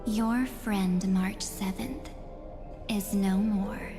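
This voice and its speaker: An actress playing an enigmatic young woman in a video game, gentle and teasing, with soft, breathy phrase endings. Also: mid-range voice, fairly even intonation. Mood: solemn, wistful. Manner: soft, coy, unhurried, with breathy trailing ends. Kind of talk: dramatic video game dialogue. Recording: reverberant hall sound under music.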